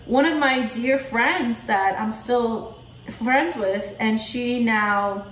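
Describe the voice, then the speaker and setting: A woman's voice: fairly high pitch, takes it slowly, a little hiss or hum. A young woman from Poland, chatting casually to camera from an armchair in her living room and gesturing a lot. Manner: chatty, with lots of hand gestures. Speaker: vlogger. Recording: roomy echo in a living room.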